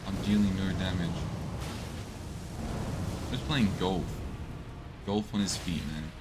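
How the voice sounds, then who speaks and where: A man giving laid-back, deadpan commentary while playing a game, with dry self-mocking remarks. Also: sits low, takes it slowly, loud music behind the voice. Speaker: gameplay commentator. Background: loud game battle sounds.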